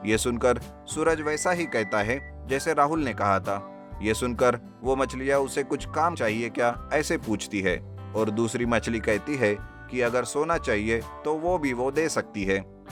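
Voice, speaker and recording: A man narrates a scripted story for children. His voice sits at a middle pitch, and guitar music plays clearly underneath him. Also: unhurried. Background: plucked guitar music.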